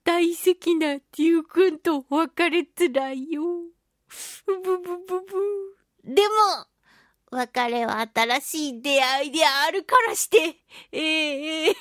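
A woman hosting a casual solo radio chat, talking in a playful, animated way with touches of mock-grand phrasing. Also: high voice, takes it slowly, no echo, studio quality. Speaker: radio show host and voice actress.

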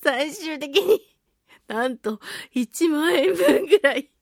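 Animated, high-pitched woman chatting. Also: slow pace, no room sound, studio quality. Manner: animated, chatty storyteller with playful self-mockery. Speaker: host of a talk radio show, voice actress. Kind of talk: casual monologue, telling a personal anecdote.

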